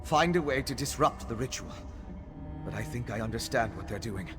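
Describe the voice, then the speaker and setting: A man acts out an earnest young scholar mage from a fantasy game. He thinks aloud, absorbed and urgent, at a middle pitch, with music clearly behind him. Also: animated, normal speed, natural voice. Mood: thoughtful. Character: earnest scholarly young male mage investigating dark rituals. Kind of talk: video game voice acting.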